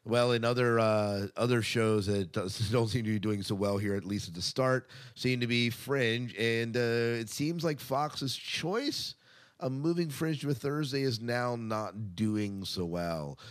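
A man's voice: mid-range voice, measured pace. A man co-hosting a podcast, giving casual entertainment news commentary in a conversational way with emphatic asides. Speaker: podcast co-host.

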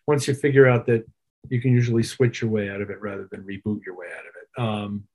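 Husky-voiced man in interview. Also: fairly low pitch, flat delivery, fast, a little mellow. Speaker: musician guest, touring keyboard player. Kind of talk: interview chat, telling career anecdotes.

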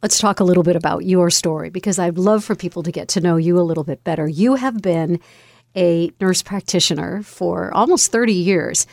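A woman's voice: on the low side, fairly quick, gravelly, darkish tone, no room sound, studio quality. A woman hosting an interview, asking friendly, encouraging questions. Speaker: interview host.

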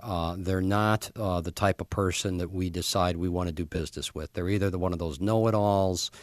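A man's voice: sits low, no room sound, clean studio sound. A man hosting a casual business podcast interview, often repeating words as he forms his questions. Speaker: podcast host interviewing a guest.

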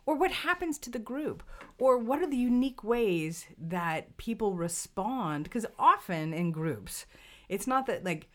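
A woman's voice: a little high, unhurried. A woman co-hosting a casual conversation, thinking aloud and posing questions. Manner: thoughtful, poses questions, lively emphasis. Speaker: podcast co-host.

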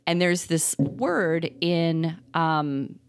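A woman co-hosting a parenting podcast, talking in a thoughtful, rambling way with many pauses and ums. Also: sits low, clean tone. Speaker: podcast co-host. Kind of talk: casual chat.

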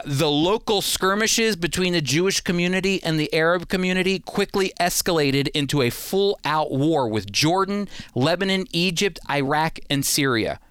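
Clear, higher-pitched man hosting an explainer. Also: a bit bright, no room sound, clean studio sound. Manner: emphatic, repeats key points for the listener. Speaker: show host. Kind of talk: explanatory history talk to listeners.

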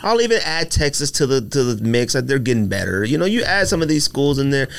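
A man's voice: medium pitch, very animated, fairly quick, gravelly, clear, resonant tone. A man in casual sports debate on a podcast, opinionated and informal, often repeating phrases for emphasis.

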